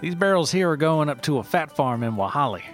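A man plays a rustic Appalachian forager from a mock documentary story. He talks in a folksy, halting drawl, aggrieved yet sentimental, over background music. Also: on the high side, expressive, voice pushed up, natural voice. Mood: resigned, worried. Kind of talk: mock reality-show character in a narrated story.

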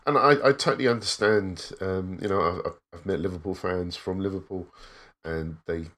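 A man's voice: low-pitched, normal speed, a bit bright. A man talking reflectively on a casual podcast, often leaning on "you know.".

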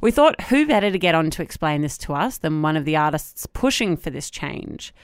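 Australian woman, news podcast host, crisp lowish voice, presenting and interviewing in a dry studio sound. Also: big pitch swings, normal speed, no room sound.

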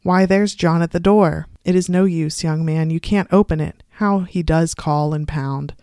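Storybook narrator: reader playing a confined, unravelling woman, secretive and defiant, measured confiding delivery, medium pitch, dry sound. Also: steady tone, dark tone, clear, resonant tone, no echo, lightly acted. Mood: confiding, unsettled. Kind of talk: first-person literary story reading.

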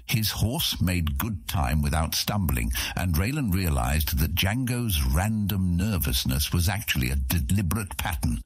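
A man narrating a scripted fantasy audiobook in a measured storytelling manner. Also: low voice, lively intonation, breathy edge, dry recording.

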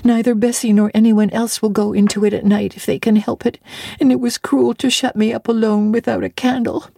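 A woman's voice: a little high, level tone, fairly quick, creaky, warm tone, no room sound, studio quality, voice pushed up. A woman voicing a miserable, defiant orphan girl of about nine in a dramatic book reading, blunt and pleading. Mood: frightened, wounded.

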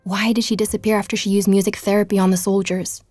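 A woman playing an earnest investigative journalist, narrating a podcast-style audio drama in a measured, inquisitive way. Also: medium pitch, level tone, a little mellow, soft background music, natural voice. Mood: determined, intrigued. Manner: inquisitive, measured storytelling with rhetorical questions.

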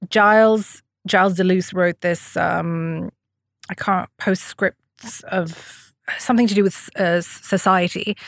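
A woman with a lowish voice, recorded close and dry, who thinks aloud as she speaks. She is a young adult from the Emirates and often pauses, restarts and fills gaps with "um" while building her points. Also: no room sound. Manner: thoughtful, hesitant, many fillers and restarts. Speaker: guest. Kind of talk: reflective interview conversation.

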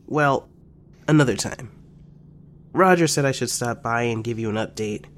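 A man's voice: mid-range voice, normal speed, fairly bright tone, lightly acted. A man playing an easygoing, self-effacing fellow in an audio drama, casual, rambling and tentative. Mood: friendly, tentative.

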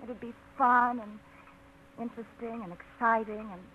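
A woman's voice: a little high, fairly even intonation, normal speed, slight background noise, lightly acted. An actress playing a young woman torn by a suitor's proposal, in 1950s radio drama style, breathless and scolding herself. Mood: flustered. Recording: muffled 1950s radio broadcast.

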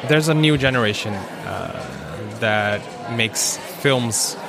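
A man moderating an informal panel discussion, searching for his words with frequent pauses. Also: mid-range voice, animated, gravelly, a loud crowd. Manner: hesitant, searching, pausing often.

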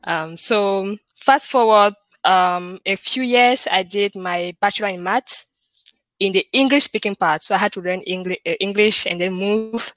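A woman in her thirties from Cameroon with a French-speaking Cameroonian accent, chatting as an interview guest about her research, animated and laughing often. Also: mid-range voice, measured pace, dry recording. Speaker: guest, computer vision researcher. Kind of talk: casual interview chat.

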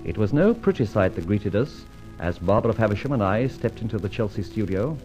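A man playing a suave, wry and commanding gentleman adventurer in a vintage radio adventure serial. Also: lowish voice, animated, brisk, lightly acted. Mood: wry narrating. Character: suave, self-assured gentleman adventurer and amateur sleuth. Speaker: narrator and lead actor. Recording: dull old radio recording, music behind.

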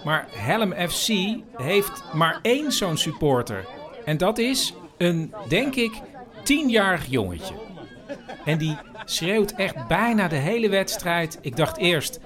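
A man's voice: sits high, big pitch swings, slow pace. A man narrating an audio report as podcast host, in a wry, hesitant storytelling way. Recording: outdoor crowd and music behind. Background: football crowd, music.

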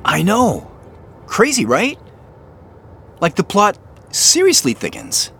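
Animated, eager younger brother. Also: male voice, high-pitched, slow, vocal fry, breathy edge, full character voice. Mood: excited. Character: excited younger brother on a treasure hunt. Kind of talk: audio drama dialogue, naturalistic phone call. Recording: faint vehicle noise behind. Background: car driving.

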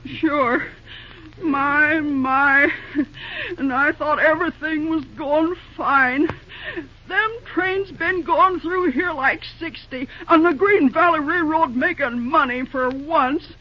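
A fussy, kindly country restaurant keeper, middle-aged and full of homespun exclamations. Her voice sits high for a woman. It comes through a dull, lo-fi old radio recording. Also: takes it slowly. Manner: homespun rural dialect, exclamations. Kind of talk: 1930s radio drama acting.

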